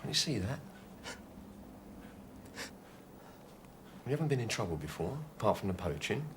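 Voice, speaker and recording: A firm, persuasive cop, voice moves a lot, clear noise behind. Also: male voice, expressive, brisk. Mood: coaxing. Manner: firm, persuasive, low and urgent. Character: steady male police officer held hostage, coaxing gunman.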